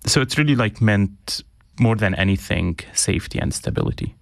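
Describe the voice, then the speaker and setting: A young man from Syria, an interview guest speaking earnestly, pausing with frequent ums and restarts. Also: lowish voice, animated, creaky, no echo, studio quality. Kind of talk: reflective interview conversation.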